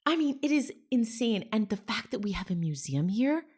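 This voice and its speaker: A woman's voice: medium pitch, very animated, normal speed, a little mellow, slightly rough. A woman in her forties from New Jersey, a story salon founder, talking enthusiastically and animatedly as an interview guest. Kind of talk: casual conversation.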